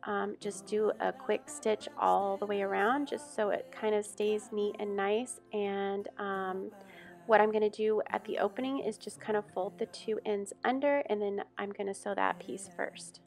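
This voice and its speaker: A relaxed woman in her thirties walks through a simple home project step by step, with easy fillers along the way. Her voice has a gravelly edge, and soft music sits faintly behind her. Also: mid-range voice, moderate pace. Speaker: home vlogger. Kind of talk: casual tutorial voice-over.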